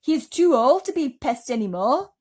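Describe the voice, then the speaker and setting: A woman's voice: high-pitched, sing-song, normal speed, darkish tone, husky, pitched above the performer's own voice, full character voice. A woman voicing a boastful, gleeful male centipede rascal in a storybook reading.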